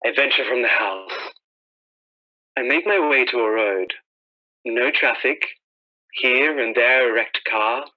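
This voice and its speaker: A man's voice: medium pitch, unhurried, pauses often, close to an everyday voice. An actor playing a haunted, lonely professor who survived a disaster, narrating his journal as a brooding radio drama monologue. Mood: weary, wary. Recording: dull, lo-fi radio sound.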